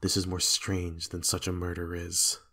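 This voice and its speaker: A man playing a guilt-haunted, fearful and raging king in a Shakespearean dramatic reading, with a husky voice. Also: sits low, monotone, moderate pace, pushed and bright, full character voice. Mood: brooding. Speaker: amateur reader in a dramatic reading.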